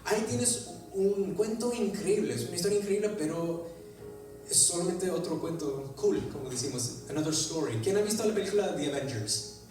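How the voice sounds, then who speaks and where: A man whose first language is English, preaching an animated sermon in a church, with an English-speaking accent and frequent restarts. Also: high-pitched, moderate pace. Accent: English-speaking accent in Spanish. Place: church sanctuary. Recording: echoey church hall, distant mic, music behind.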